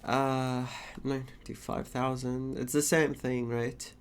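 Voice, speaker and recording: A man's voice: mid-range voice, level tone, takes it slowly, clear, resonant tone. A man doing casual let's play commentary as a gaming streamer.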